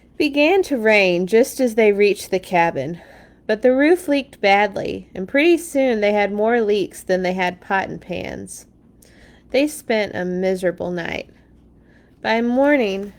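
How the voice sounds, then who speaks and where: A woman's voice: medium pitch, animated, measured pace, a little mellow. A woman hosting a children's read-along, reading stories expressively and dropping in casual asides.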